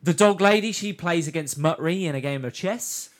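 A man's voice: fairly high pitch, moderate pace. A man hosting a casual, jokey review chat, swearing freely as he recaps. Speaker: podcast host.

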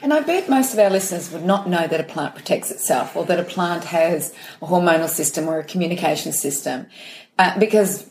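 A woman co-hosting a podcast, her voice somewhat low. She chats and asks questions in a cheerful, enthusiastic way, with faint ambience around her. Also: lively intonation, fairly quick, a little mellow, slight background noise. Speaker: podcast co-host. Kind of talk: casual chat.

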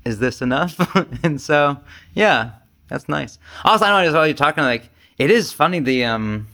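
A man's voice: fairly high pitch, animated, moderate pace, bright, forceful tone. A man leading a casual group discussion as a Zen teacher, speaking candidly and self-deprecatingly, with swearing and imagined quotes.

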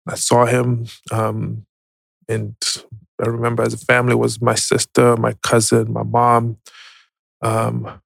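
A man tells a personal story as an interview guest, reflective and hesitant, pausing often. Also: medium pitch, monotone, creaky, no echo. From Zimbabwe. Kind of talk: personal testimony, conversational storytelling.

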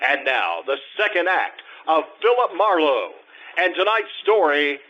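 A man's voice: on the high side, very animated, unhurried, dull audio. A man playing an earnest, formal 1950s radio announcer, reading in old-time announcing style.

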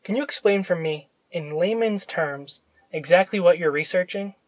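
A man hosting a podcast, reading a scripted introduction and asking interview questions in a measured, read-aloud way. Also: high voice. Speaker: podcast host. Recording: music playing behind the voice. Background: guitar music.

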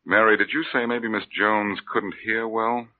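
A man's voice: fairly low pitch, steady tone, moderate pace, natural voice. An actor playing a wisecracking male detective who questions people with dry sarcastic quips, in 1940s radio acting. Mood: probing. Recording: tinny lo-fi old radio recording with faint hiss.

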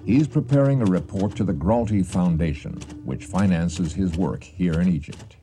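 A man's voice: low-pitched, normal speed, warm tone. A man hosting and narrating a radio drama in a scripted, dramatic storytelling style. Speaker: host and narrator. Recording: faint music behind the voice.

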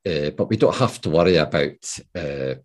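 A man's voice: rumbling low, lively intonation, normal speed. A man from the British Isles hosting an interview, talking hesitantly with repeated words and many "yeah"s and "you know"s. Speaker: podcast host. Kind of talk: casual interview chat.

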